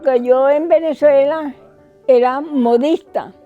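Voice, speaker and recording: An older woman speaking with quiet pride about her life and home. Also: sits high, slow pace, narrow, tinny audio, soft background music. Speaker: documentary interviewee. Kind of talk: interview testimony.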